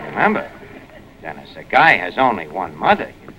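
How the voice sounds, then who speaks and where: An actor playing a gruff, dim, wisecracking New York tavern manager in 1940s radio comedy, bumbling and slangy on an old broadcast recording. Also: male voice, deep voice, huge pitch swings, moderate pace, pushed and bright, highs cut off, full character voice. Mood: mock-solemn. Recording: noisy vintage radio broadcast.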